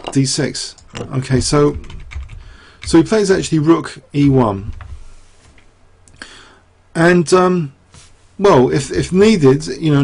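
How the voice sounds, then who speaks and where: Chess commentator, male, fairly high voice with a rough, raspy edge, thinking aloud, losing his voice. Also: a little mellow. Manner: rambling, thinking aloud with many fillers and rhetorical questions. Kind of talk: chess game analysis.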